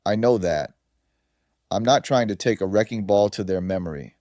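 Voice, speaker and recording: A man's voice: on the low side, expressive, normal speed, warm tone, dry recording, clean studio sound, natural voice. A man voicing a pragmatic, coolly persuasive businessman in an audio story. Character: smooth, pragmatic businessman, coolly pressing for a sale.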